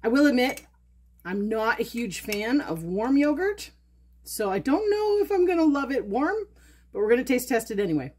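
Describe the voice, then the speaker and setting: Woman, home cook and channel host; upbeat, chatty, thinks aloud, voice sits a bit high. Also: very animated, moderate pace, darkish tone, slightly rough. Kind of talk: casual how-to cooking video. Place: home kitchen.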